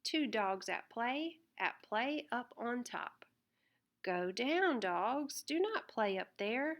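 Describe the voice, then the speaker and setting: Lady reading a kids book out loud, kinda high voice, really slow and expressive. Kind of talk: read-aloud children's storybook narration.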